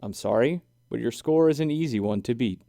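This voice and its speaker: A man's voice: medium pitch, expressive, brisk, creaky, mellow, dry recording, studio quality. A man playing a male animal judge in a storybook, laying out rules in an official, instructive manner.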